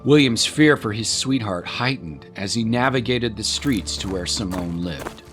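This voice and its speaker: A man narrating a scripted dramatic story in a measured, solemn manner. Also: on the low side, animated, a bit bright, soft background music.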